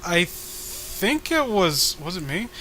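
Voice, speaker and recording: Casting: male co-host, high voice with a bright edge, loose joking commentary, slightly noisy home recording. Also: very animated, slow pace. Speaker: co-host of a comic reading series.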